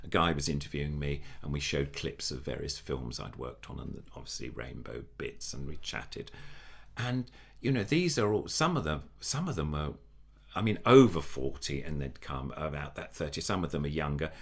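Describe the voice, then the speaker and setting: A man's voice: very deep voice, lively intonation, normal speed, gravelly, slightly rough. An older man with a nasal voice, a puppeteer chatting in an interview, enthusiastic and rambling with many restarts. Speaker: puppeteer guest. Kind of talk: casual interview chat.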